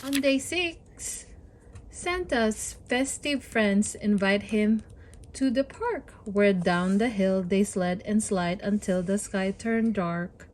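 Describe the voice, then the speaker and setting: A woman reading aloud to young children as a storybook host, in an expressive, deliberate and encouraging way. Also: medium pitch, slight background noise.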